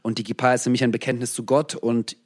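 A man from the former Soviet Union answering interview questions thoughtfully, with frequent pauses, fillers and restarts. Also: mid-range voice, level tone. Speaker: guest.

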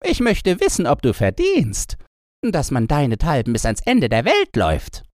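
A man's voice: on the high side, huge pitch swings, moderate pace, darkish tone, dry recording, studio quality, voice pushed up. A man voicing a brash, cheeky young robber girl in a fairy-tale audiobook, blunt, teasing and bossy. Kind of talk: storybook character voice in an audiobook.

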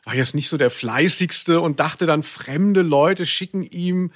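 A male podcast host in his forties with a slightly high voice. He tells anecdotes casually, rambling along with self-deprecating humour. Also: measured pace, fairly bright tone. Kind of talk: casual humorous anecdotal chat.

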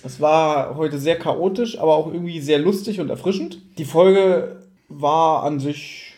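A man's voice: fairly high pitch, a little slow, darkish tone. Blunt man in a roomy space. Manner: opinionated, blunt, colloquial swearing. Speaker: podcast host. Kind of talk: casual review chat.

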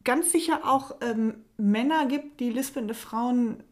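Lispelnde Frau im lockeren Gespräch. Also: female voice, fairly high pitch, slow. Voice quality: slight lisp. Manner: frank, chatty, laughs often. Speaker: podcast co-host. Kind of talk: casual chat.

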